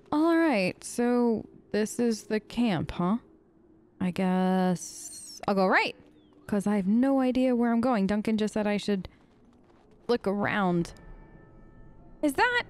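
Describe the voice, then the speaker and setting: A woman's voice: fairly high pitch, lively intonation, takes it slowly, pauses often. A woman hosting a let's play, improvising in-character replies and musing commentary in a conversational way.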